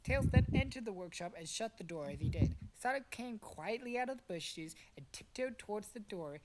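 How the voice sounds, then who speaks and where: A woman's voice: medium pitch, lively intonation, moderate pace, heavy background noise. A young woman narrating fan fiction in a dramatic but casual, jokey storytelling style. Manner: dramatic storytelling, profane asides. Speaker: narrator and podcast cast member.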